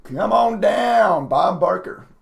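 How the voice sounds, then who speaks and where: A man with a raspy voice chatting casually to viewers as a livestreaming day trader, emotive and talkative. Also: sits high, lively intonation, slow pace. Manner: chatty, emotive, laughs often. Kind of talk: casual live stream commentary to viewers.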